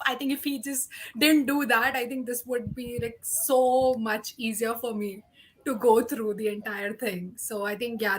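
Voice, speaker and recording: Bright, high-pitched woman chatting. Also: low-quality recording. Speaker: book discussion panelist. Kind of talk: casual group book discussion.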